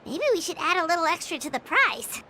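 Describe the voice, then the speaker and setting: A woman voicing a small, cheeky companion girl in a video game, with a high, bright voice and quick sassy remarks. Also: lively intonation, a little hiss or hum, exaggerated voice. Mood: chirpy. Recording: faint game music behind.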